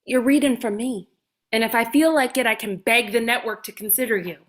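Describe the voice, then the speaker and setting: Woman playing a jaded, sharp-tongued TV star, higher-pitched. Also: fairly quick, close to an everyday voice. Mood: scornful. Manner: deadpan, sarcastic. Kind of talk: comedy script table read.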